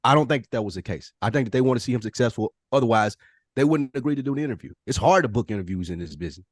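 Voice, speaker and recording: A man's voice: medium pitch, animated, racing, no echo, studio quality. A man giving opinions as a podcast panelist, emphatic and slangy with some cursing, repeating phrases to drive them home. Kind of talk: opinionated casual discussion.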